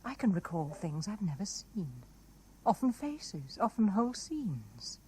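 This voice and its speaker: An actress with a British accent playing a wistful, enigmatic married woman in a 1970s radio drama, musing with soft intensity. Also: on the low side, very animated, measured pace. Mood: haunted, wistful. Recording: noisy 1970s radio broadcast recording.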